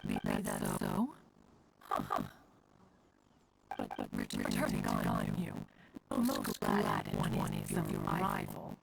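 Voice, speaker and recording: An ancient, dignified mountain guardian from a fantasy game, with stately, old-fashioned formal diction. The delivery swings widely in pitch with a gravelly crackle, over music in distorted, stuttering audio. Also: female voice, wildly swooping pitch, takes it slowly, pauses often, full character voice. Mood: earnest. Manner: archaic, formal, stately phrasing, calling self one. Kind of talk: scripted video game dialogue.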